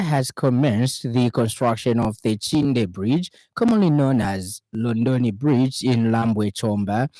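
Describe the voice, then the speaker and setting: A man's voice: medium pitch, darkish tone, no room sound, poor audio. Formal Zambian newsreader, male, dry studio sound. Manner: formal, measured delivery. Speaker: radio news reader. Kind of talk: scripted news bulletin reading. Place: radio studio.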